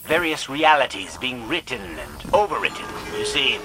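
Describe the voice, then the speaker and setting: A man's voice: medium pitch, expressive, normal speed, noisy background, close to an everyday voice. An actor playing a cantankerous, obsessive older male alien scientist in a video game, pompous and theatrically indignant in manner. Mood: lecturing. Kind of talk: dramatic video game voice acting.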